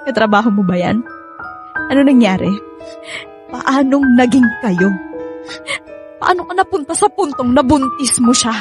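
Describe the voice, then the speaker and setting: A woman's voice: sits high, lively intonation, normal speed, pauses often. A woman playing a hardworking, nagging and hurt wife and mother in a Filipino radio drama, emotional and scolding. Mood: anguished. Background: background music.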